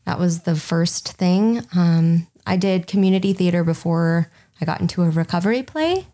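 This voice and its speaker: A young woman in her twenties chats easily as a podcast guest, dotting her sentences with ums. Her voice sits a little on the low side. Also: normal speed, warm tone. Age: 20s. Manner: open and chatty, many "um"s. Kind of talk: casual interview chat.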